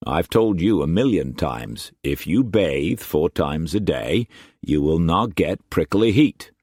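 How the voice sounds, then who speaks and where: A man voicing a shrewd, fatherly older Scottish trader who speaks in a measured, gruff way. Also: deep voice, lively intonation, a little mellow, no room sound, studio quality, full character voice. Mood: stern. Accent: Scottish put-on.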